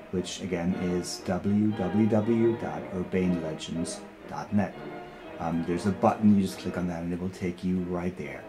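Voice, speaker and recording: A man presenting and narrating a historical newsreel. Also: sits low. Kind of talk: scripted historical narration with informal thanks and appeals.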